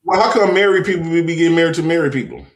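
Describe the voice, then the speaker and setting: A man's voice: fairly high pitch, racing, roomy sound. A man bragging about himself in a casual group chat, laughing often and telling stories animatedly. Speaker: panel guest.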